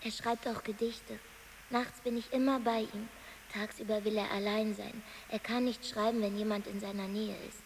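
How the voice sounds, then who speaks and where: A woman's voice: mid-range voice, little pitch movement, normal speed, lightly acted. An actress playing a tense, devoted young woman confiding about a troubled romance in a radio drama. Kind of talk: naturalistic radio drama dialogue. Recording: reverberant hall, clear hiss.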